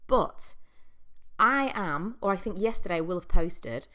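A woman's voice: on the low side, animated, measured pace, bright, forceful tone. A young woman hosting a solo podcast, talking in a chatty, reflective way with frequent ums and likes, her voice congested from a cold. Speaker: podcast host. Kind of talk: casual solo podcast monologue.

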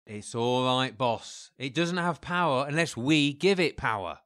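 An actor playing a loyal gangster's henchman in a dark comedy crime drama, deferential, soothing and matter-of-fact. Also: medium pitch, expressive, unhurried. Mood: placating.

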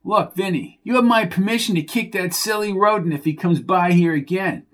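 A man voicing a hot-tempered male racehorse owner who talks gruffly and bosses people around. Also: high-pitched, normal speed, fairly bright tone, voice pushed up. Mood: bossy. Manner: gruff, bossy, threatening.

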